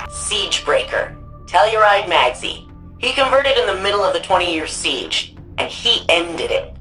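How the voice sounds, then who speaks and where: An actress playing an eager, eccentric sci-fi data researcher in a video game, excitable and quick-witted. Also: female voice, lowish voice, poor audio.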